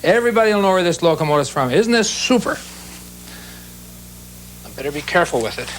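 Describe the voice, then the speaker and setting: A man's voice: fairly high pitch, big pitch swings, quick pace, a little hiss or hum, rough recording. A man in his fifties who chats eagerly and casually while he shows off his collection. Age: 50s. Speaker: locomotive restorer. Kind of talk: casual chat.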